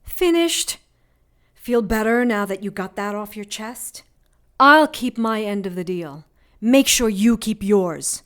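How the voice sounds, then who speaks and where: A woman playing a defiant, sharp-tongued heroine in an audio drama, sarcastic and deliberate in manner. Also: medium pitch, close to an everyday voice. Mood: firm, sarcastic. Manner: sarcastic, deliberate, bargaining coolly.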